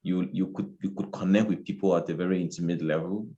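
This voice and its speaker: A man's voice: deep voice, steady tone, fast talker, dark tone, muffled recording. A man from Cameroon, a guest in an interview, talking in a reflective, casual way and often repeating phrases while he searches for words.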